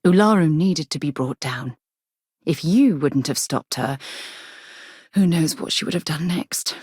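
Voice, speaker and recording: Low-voiced, principled woman space explorer. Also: animated, unhurried, a little mellow, breathy edge, no echo, clean studio sound, heavily acted. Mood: earnest, firm. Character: principled, adventurous woman explorer, leader in a space-faring society. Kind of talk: video game character dialogue.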